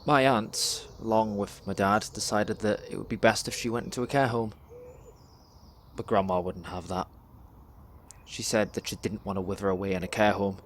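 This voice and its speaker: Low-voiced young man performing spoken word. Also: a little hiss or hum. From England. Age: young adult. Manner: measured, deliberate storytelling with pauses. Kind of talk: dramatic spoken word monologue.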